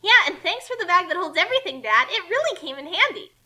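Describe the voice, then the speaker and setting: A woman voicing a perky, brash young female adventurer with a loud, excitable, flippant manner, for a story reading. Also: very high-pitched, fast, voice pushed up, heavily acted. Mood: excited, grateful. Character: Kasumi, perky, brash, destructive adventurer daughter.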